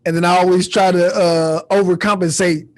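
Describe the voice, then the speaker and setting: High-voiced man hosting a casual chat. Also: level tone, fairly quick. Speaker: podcast host and comedian.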